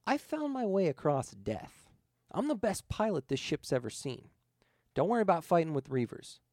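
A man's voice: fairly high pitch, big pitch swings, moderate pace, a little mellow, no echo, studio quality, voice pushed up. A man voicing a shapeshifting spirit posing as a dead pilot, ominous and pleading in an earnest, insistent way. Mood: confident, reassuring.

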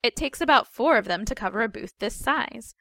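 A woman voicing a sly teenage girl who explains tall tales in a patient, matter-of-fact deadpan for a story reading. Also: on the high side, a little fast, no echo, studio quality. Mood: mock-wise. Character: sly teenage girl, mock-wise, deadpan leg-puller.

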